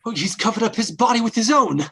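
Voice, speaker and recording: Man acting husky, animated, anxious companion Stan. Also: sits high, quick pace, heavily acted. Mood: urgent. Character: anxious male companion Stan, helping the rescue. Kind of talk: old-time radio horror acting.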